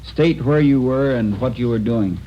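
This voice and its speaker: An actor playing a dry, official coroner questioning in a formal, impersonal way in a 1950s radio drama. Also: male voice, medium pitch, moderate pace, close to an everyday voice. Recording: muffled, noisy old radio recording.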